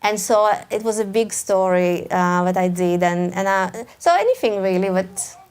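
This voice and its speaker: A woman's voice: medium pitch, moderate pace, clear, resonant tone. A woman with a Russian accent, an author speaking at a live talk, hesitant, restarting often with small laughs. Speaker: author guest. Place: event hall before a live audience.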